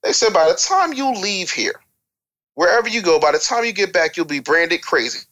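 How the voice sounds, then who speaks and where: A man's voice: high voice, sing-song, moderate pace, fairly bright tone. American guy on a talk show, opinionated, emphatic storyteller, repeats lines to drive them home. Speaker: interview guest.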